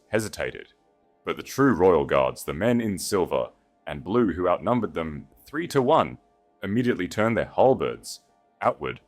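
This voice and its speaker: A man reading a scripted romantic fantasy tale as a dramatic audiobook narrator. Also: deep voice.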